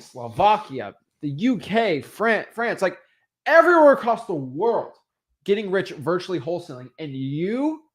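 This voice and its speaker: Young male coach, high-ish voice, very lively pitch, emphatic motivational teaching. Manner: emphatic, rhetorical questions, frequent "right?" and "okay?". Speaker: online real estate coach.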